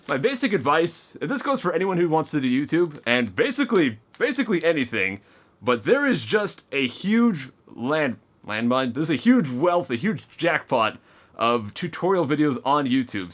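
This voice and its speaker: A man casually opening fan mail on camera, chatty and excitable, reading letters aloud and stumbling over his words now and then. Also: on the high side, moderate pace.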